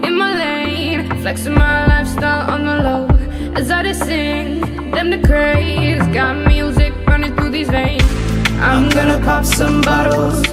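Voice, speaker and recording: A woman's voice: sits high, steady tone, unhurried, few pauses. A woman singing afrobeat vocals in a rhythmic, half-rapped way on a song track. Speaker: singer. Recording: song track with loud music.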